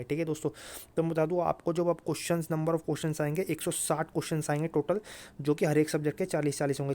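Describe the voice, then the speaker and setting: A man's voice: a little high, darkish tone. A man with a North Indian accent explaining updates informally to viewers, repetitive and insistent, mixing in English words. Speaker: exam-update YouTuber.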